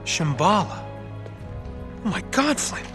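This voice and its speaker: An actor playing a cocky, wisecracking American treasure hunter in a video game cutscene, with a wry, sarcastic manner. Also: male voice, high voice, sing-song, slow, exaggerated voice. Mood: excited. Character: cocky, wisecracking, improvising male treasure-hunting adventurer. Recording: loud music behind the voice.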